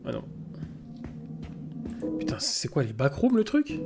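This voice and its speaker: Casual streamer with loud music behind. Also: male voice, medium pitch, huge pitch swings, unhurried, creaky. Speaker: streamer reacting to a cartoon. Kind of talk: casual, crude reaction commentary. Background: cartoon soundtrack playing loudly.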